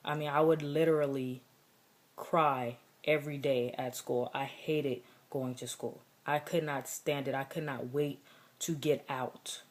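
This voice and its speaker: A young woman with a deep voice, talking earnestly and reflectively in a personal vlog, with many fillers. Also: steady tone, a little slow. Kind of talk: personal vlog contest entry.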